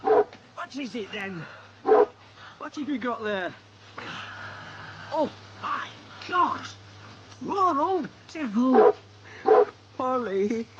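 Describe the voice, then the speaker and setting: An excitable dog owner in a radio drama. The voice is high and very slow, calling out over loud noise and animal sounds. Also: highs cut off, full character voice. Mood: startled, curious.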